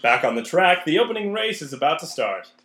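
A man's voice: fairly high pitch, sing-song, fairly quick, a bit bright. A man narrating a scripted radio play. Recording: roomy, echoing recording.

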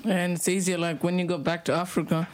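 A young man hosting a casual radio chat, relaxed and quick to laugh, from South Sudan, switching between languages. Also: high voice. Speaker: youth radio host.

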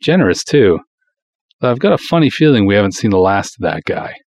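Male reader as hard-boiled small-town cop, earnest, smitten, lowish voice, first-person pulp storytelling. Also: very animated, fairly quick, a little mellow, dry recording. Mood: wry, smitten.

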